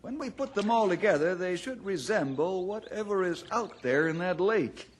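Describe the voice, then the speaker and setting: An actor playing a steady, thoughtful, fatherly ranger in an old-time radio drama, folksy and reassuring. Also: sits high, moderate pace, a little hiss or hum. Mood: assured.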